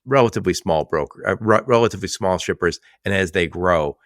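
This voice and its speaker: Deep-voiced podcast host, dry sound. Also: male voice, a little fast, fairly bright tone, no echo. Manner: conversational, generalizing, asks short stacked questions. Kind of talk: business podcast interview.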